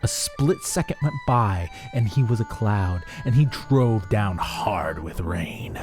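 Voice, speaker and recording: A man narrating a rhyming folk tale as a storyteller, theatrical and expressive. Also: on the low side, slow pace, over music.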